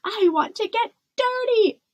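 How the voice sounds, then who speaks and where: A woman's voice: high-pitched, sing-song, moderate pace, husky, full character voice. A woman voicing a stubborn, rebellious young princess in a storybook, whining emphatically. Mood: fed up. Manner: whiny, emphatic, defiant. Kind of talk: storybook character voice.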